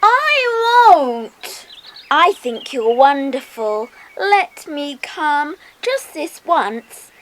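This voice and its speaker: Wheedling little schoolgirl in a kids play, really high voice, slow and singsong. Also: sing-song, fairly bright tone, full character voice. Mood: pleading, admiring. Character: Susie, pleading, admiring little schoolgirl sister. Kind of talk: children's audiobook dramatisation.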